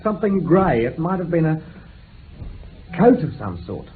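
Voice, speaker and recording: An actor playing a distressed, guarded young man giving halting, earnest testimony in a radio drama. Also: on the high side, very animated. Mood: guarded, confused. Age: young adult. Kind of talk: 1960s British radio drama acting. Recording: tinny, band-limited old radio recording with hiss.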